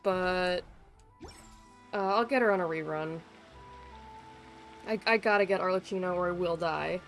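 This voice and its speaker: A woman's voice: on the low side, a little slow. A woman livestreaming a game, chatting in a casual, rambling way with playful teasing and some swearing. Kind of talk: casual gaming stream chat. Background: music in the background.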